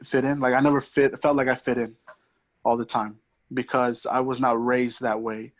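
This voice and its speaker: A young man from Mexico, a podcast guest, talking in a casual, hesitant way with many pauses and restarts. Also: mid-range voice, little pitch movement. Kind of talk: casual conversation.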